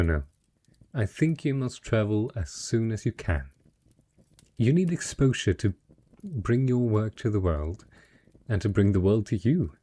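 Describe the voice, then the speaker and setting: A man playing a dragon disguised as a wealthy, possessive patron in a romantic fantasy audio roleplay, speaking with refined, effusive eloquence and a husky voice. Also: fairly low pitch, darkish tone.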